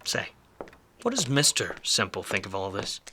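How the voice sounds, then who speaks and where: An actor playing a hard-drinking, wisecracking, jaded 1940s private detective in a film noir radio play, with sardonic, slangy delivery. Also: male voice, on the low side, huge pitch swings, moderate pace, pushed and bright, slight background noise, heavily acted. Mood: needling, feigned innocence.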